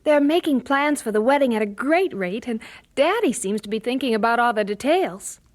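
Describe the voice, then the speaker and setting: A woman playing a high-voiced young bride. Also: expressive, moderate pace, natural voice. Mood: delighted, fond. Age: young adult. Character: cheerful, affectionate young missionary bride, planning wedding. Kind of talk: audio drama acting.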